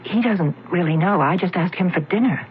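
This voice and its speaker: An actress playing a worried wife who pleads anxiously in an old radio drama. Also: female voice, fairly low pitch, a little fast, slight background noise, close to an everyday voice. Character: worried wife trying to help her husband. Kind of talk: 1970s radio drama acting. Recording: dull old radio broadcast with loud music.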